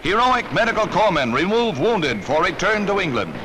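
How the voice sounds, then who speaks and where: A man's voice: high voice, sing-song, moderate pace, heavy background noise. An American man reading a scripted 1940s newsreel narration in an urgent, dramatic, declamatory manner on a vintage soundtrack. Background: loud music.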